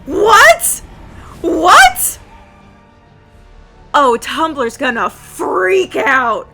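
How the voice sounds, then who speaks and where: A woman hosting casual gameplay commentary in an excitable, playful manner. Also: high voice, very slow, vocal fry, faint music behind. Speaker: gaming video host.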